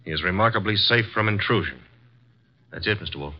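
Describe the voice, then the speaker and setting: An actor playing a brisk, wisecracking male assistant detective in a 1950s radio drama, matter-of-fact and wry, on a muffled old broadcast recording. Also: on the low side, natural voice.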